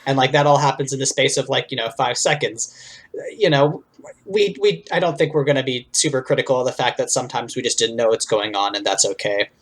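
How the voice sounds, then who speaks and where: A man's voice: mid-range voice, fairly quick. A man co-hosting a podcast, giving informal, discursive commentary full of asides in a conversational way. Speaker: podcast co-host.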